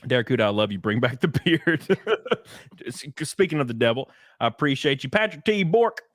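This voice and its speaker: Animated Southern man hosting a stream. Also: mid-range voice, normal speed, dry recording, studio quality. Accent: Southern American. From southern United States. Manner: animated, laughing, reads viewer chats aloud. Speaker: podcast host. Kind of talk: casual livestream chat.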